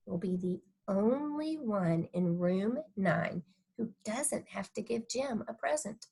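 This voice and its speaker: A woman voicing an easygoing father who coaxes softly and persuasively in a children's audiobook. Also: medium pitch, lively intonation, takes it slowly, creaky, slightly rough, voice pushed down, full character voice. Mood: coaxing. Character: easygoing, gently persuasive father of a little girl.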